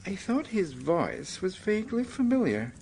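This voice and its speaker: An actor playing an evasive, easily flustered American manuscript collector in a radio drama, polite but defensive, sometimes stammering. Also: male voice, sits high, sing-song, moderate pace, creaky, darkish tone, slight background noise, exaggerated voice. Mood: anxious recollection.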